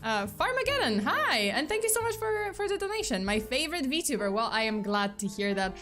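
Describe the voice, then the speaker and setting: A woman's voice: high-pitched, big pitch swings, brisk, fairly bright tone, soft background music. Giggly chatty woman. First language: Polish. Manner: chatty, giggly, many fillers and restarts. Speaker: VTuber streamer. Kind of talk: casual live-stream chat with viewers.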